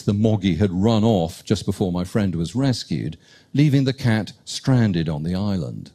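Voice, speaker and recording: Wry man telling an anecdote, husky and mellow. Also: fairly low pitch. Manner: lively, wry anecdote delivery. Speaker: cruise ship lecturer. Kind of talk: storytelling lecture.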